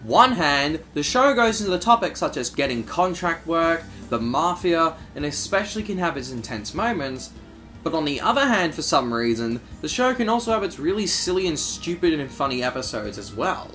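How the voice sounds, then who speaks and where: A man's voice: a little high, very animated, moderate pace, sharp tone, soft background music. A man hosting a video essay, narrating from a script.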